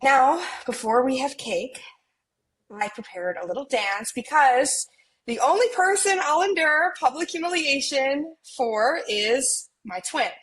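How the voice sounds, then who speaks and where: Woman acting as sweet millennial twin in a romcom, talks real slow, bit high pitched. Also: lively intonation, a bit bright, poor audio. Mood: warm, celebratory. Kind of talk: romcom table read acting.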